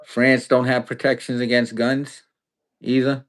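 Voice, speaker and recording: A man's voice: mid-range voice, flat delivery, a little slow. A man hosting a podcast, chatting loosely about politics with many restarts and fillers. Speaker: podcast host. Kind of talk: casual political chat.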